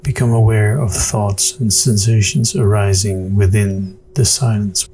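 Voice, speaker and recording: A man narrating a guided meditation in an unhurried, soothing manner with long pauses. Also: fairly low pitch, fairly even intonation, warm tone. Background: meditation music with singing bowls.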